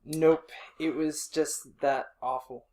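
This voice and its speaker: A man's voice: a little high, steady tone, slow, darkish tone, a little hiss or hum. A man co-hosting a casual podcast chat, easygoing and self-deprecating. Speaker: podcast co-host.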